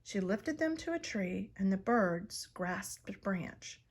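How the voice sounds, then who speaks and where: A woman reads a children's picture book aloud at a slow, deliberate pace. Her delivery is expressive and dramatised, with a medium-pitched voice. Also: slightly rough. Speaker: storybook reader.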